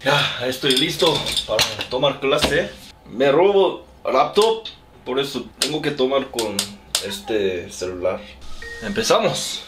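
Slow-talking man, Korean native, learner's Spanish. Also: medium pitch, expressive, echoey room, noisy background. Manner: simple learner's Spanish, unhurried and chatty. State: lingering cough. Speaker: vlogger. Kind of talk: casual vlog narration while cooking. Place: small apartment room.

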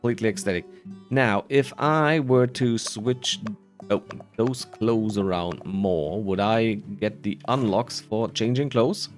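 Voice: mid-range voice, slow pace, faint music behind. A gaming creator giving casual playthrough commentary, rambling and muttering while thinking aloud.

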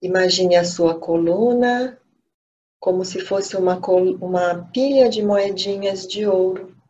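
A woman with a Brazilian accent leading a guided meditation, gently and unhurriedly, pausing between short phrases. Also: mid-range voice. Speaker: meditation teacher. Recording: dull lo-fi audio with steady noise.

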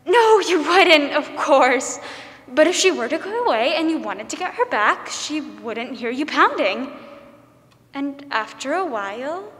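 A woman's voice: sits high, very animated, measured pace, a bit bright, heavily acted. A young woman playing a disturbed, sinister older aunt in a theatrical, intense 1940s radio drama style. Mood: coaxing, sinister. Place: stage of a school theater. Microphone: microphone on a stand. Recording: echoing hall with long reverb.

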